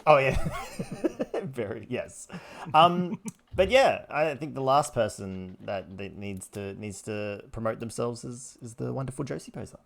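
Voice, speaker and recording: Aussie man streamer, jokey and self-mocking, everyday pitch, tabletop banter. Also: expressive, a little slow, gravelly, fairly bright tone. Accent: Australian. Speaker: player and variety streamer. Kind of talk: casual chat.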